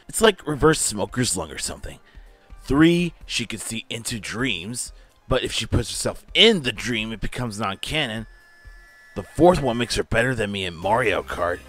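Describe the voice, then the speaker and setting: A man voicing a sardonic, anxious young slacker in a comic dub, with dry griping and emphatic outbursts. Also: fairly low pitch. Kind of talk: animated comic-dub voice acting.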